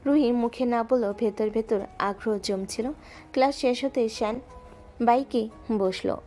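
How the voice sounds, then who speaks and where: Female narrator, slightly high, calm even read, soft music under. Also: unhurried. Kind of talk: scripted story narration.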